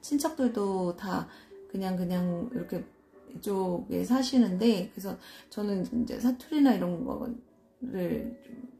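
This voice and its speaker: A woman from Seoul reading and explaining tarot cards in a casual solo video, talking slowly and hesitantly with many fillers and soft laughs. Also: mid-range voice, gravelly, faint music behind. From Seoul, South Korea. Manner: slow, hesitant, self-deprecating, frequent fillers and soft laughs. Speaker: tarot reader.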